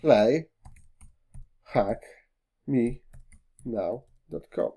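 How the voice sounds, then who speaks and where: Slow, hesitant man demoing software. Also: medium pitch, slightly rough. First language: Polish. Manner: hesitant, many fillers, non-native phrasing. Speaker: tech tutorial host. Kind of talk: screen-recorded software walkthrough.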